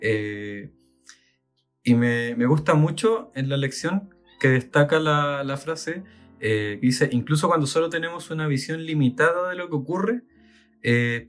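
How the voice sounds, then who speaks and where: A man's voice: fairly high pitch, animated, a little slow, faint music behind. Man, Chilean accent, co-host, thoughtful, fillers, reads passages aloud. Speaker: podcast co-host. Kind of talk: casual talk and reading aloud.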